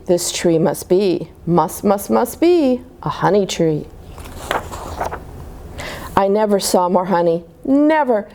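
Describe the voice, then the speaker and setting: A woman's voice: mid-range voice, dark tone, pitched below the performer's own voice. A woman voicing a boastful, confident father bear in a children's storybook, making pompous, emphatic pronouncements.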